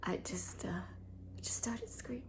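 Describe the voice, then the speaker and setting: A woman playing a traumatised, lonely young woman in a theatre monologue, halting and intense, often slipping into a breathy hush. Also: mid-range voice, in a whisper, natural voice. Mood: hushed, grieving.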